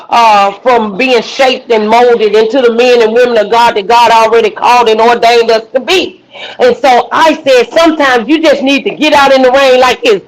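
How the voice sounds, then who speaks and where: A woman minister hosting a livestream, preaching outdoors in the rain with repetition and rising shouts. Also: a little high, low-quality recording. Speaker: minister and show host. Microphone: phone livestream.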